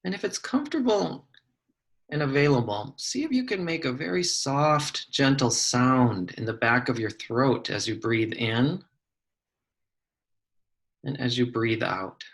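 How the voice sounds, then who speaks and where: Man, guided meditation, slow delivery, gentle measured instructions, long pauses, medium pitch. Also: animated. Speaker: psychologist leading a guided meditation.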